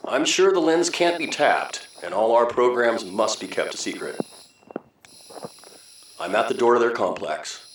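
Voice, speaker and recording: A man's voice: medium pitch, expressive, a little slow, pauses often. Earnest space patrolman, echoing played by a man. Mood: calm, earnest. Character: steady, earnest male space patrolman from Earth. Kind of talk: science fiction radio drama acting. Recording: distant, echoey, faint noise.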